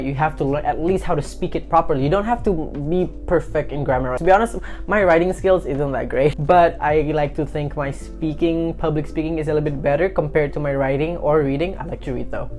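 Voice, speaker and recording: A young woman from Indonesia, a native Indonesian speaker with American-sounding English, chatting candidly to camera and giving tips. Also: deep voice. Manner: candid, expressive, swears casually. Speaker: vlogger.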